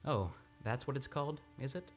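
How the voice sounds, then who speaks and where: Very low-voiced woman as sardonic male amnesiac. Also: normal speed, soft background music. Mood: wry. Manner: wry, sarcastic. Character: troubled, sardonic male amnesia patient, posing as a husband. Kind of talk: live old-time radio play acting.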